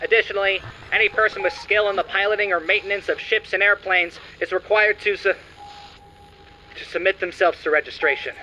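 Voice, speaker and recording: An actor playing an urgent, formal 1920s radio newsreader delivering a bulletin with clipped emphasis. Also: male voice, high-pitched, fairly even intonation, fairly quick, bright, forceful tone, rough recording. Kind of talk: old-time radio news bulletin. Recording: faint mechanical background noise.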